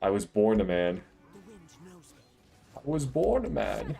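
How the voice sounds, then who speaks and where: A young man in his twenties with a deep, low voice, rambling and joking in a relaxed way as he streams a game. Faint game music plays behind him. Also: slow pace, vocal fry. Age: 20s. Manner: laid-back, joking, rambling. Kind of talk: casual live-stream chat while gaming.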